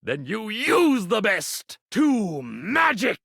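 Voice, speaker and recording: Wildly theatrical lovestruck stage magician. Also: male voice, sits high, wildly swooping pitch, slow, vocal fry, fairly bright tone, husky, no room sound, studio quality, exaggerated voice. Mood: grand salesman. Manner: theatrical, grandiose, swooning showman delivery. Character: flamboyant animated iron and ironing board, a would-be magician, lovestruck and grandiose. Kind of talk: video game character acting.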